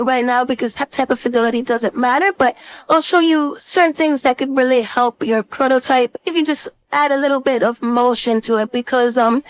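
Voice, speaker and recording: A woman instructor giving a casual screen-share software tutorial, thinking aloud informally as she demonstrates. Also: high voice. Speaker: design instructor.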